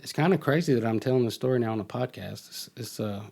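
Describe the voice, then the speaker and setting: Male podcast guest, middle-aged, casual storyteller who voices the people he quotes, medium pitch. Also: quick pace, creaky, a little mellow. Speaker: podcast guest telling his life story.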